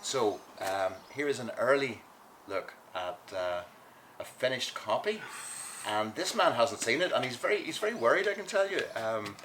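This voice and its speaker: A man hosting a casual chat in a back garden, joking and filling pauses with ums and uhs. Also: mid-range voice, lively intonation, a bit bright. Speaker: host, music writer. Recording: noisy outdoor recording.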